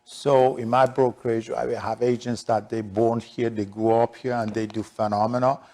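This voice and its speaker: A middle-aged man speaks in a conversational interview register with an Iranian Persian accent. His voice sits at an ordinary pitch and has a noticeably creaky, gravelly grain. He sounds like a business guest answering questions. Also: level tone, normal speed, a little mellow. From Shiraz, southern Iran. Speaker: interview guest, real estate broker.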